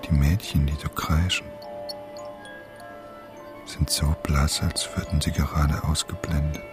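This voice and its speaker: A man's voice: extremely low pitch, level tone, a little slow. An actor playing an exasperated, sardonic man narrating his own absurd ordeal in a radio drama. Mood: uneasy, observing. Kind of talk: radio drama inner monologue. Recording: music behind the voice. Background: music.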